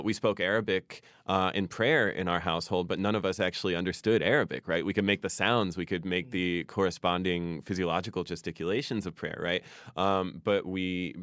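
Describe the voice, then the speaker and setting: A man whose first language is Farsi speaks as a poet guest in a thoughtful interview. His voice sits very low. He talks reflectively and often closes his points with "right?", recorded dry and close. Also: fairly bright tone, dry recording. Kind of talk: conversational interview.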